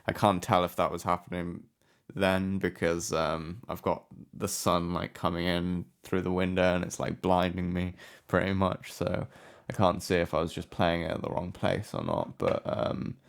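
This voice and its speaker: A man giving a casual software tutorial in a hesitant, offhand, unscripted way. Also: sits low, moderate pace, creaky. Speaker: music production tutorial host. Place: indoor room with a window.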